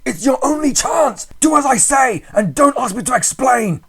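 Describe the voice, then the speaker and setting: A man's voice: extremely high pitch, expressive, moderate pace, creaky, fairly bright tone, slightly rough, voice pushed up, exaggerated voice. A man voicing a terrified, doomed man screaming frantic warnings in a horror story reading. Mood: frantic pleading. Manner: frantic, shrieking, pleading.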